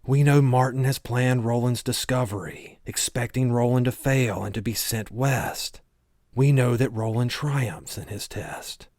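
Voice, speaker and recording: A man reading scripted audiobook narration in a measured, solemn storytelling manner. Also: medium pitch, a little mellow, dry recording, clean studio sound. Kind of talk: scripted audiobook narration of a fantasy novel recap.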